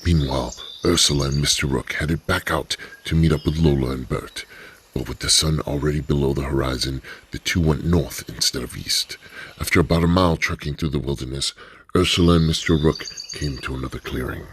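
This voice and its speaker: A man narrating an adventure radio drama in a measured storytelling manner. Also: rumbling low, steady tone, a bit bright, a little hiss or hum.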